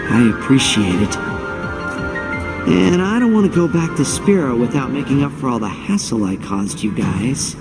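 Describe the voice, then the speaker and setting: An actor playing an earnest, cocky young athlete hero in a video game cutscene, speaking casually. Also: male voice, medium pitch, lively intonation, moderate pace, lightly acted. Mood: determined. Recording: long hall reverb, music behind. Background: game music.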